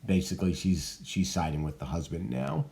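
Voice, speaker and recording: A man teaching, thoughtfully explaining a play with many hesitant pauses and fillers. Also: low-pitched, sing-song, creaky, warm tone. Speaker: teacher reading a play aloud. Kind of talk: explanatory commentary.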